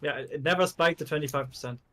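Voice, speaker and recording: A man's voice: on the high side, fast, pushed and bright. A man chatting casually as a co-commentator on a livestream. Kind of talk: casual chat.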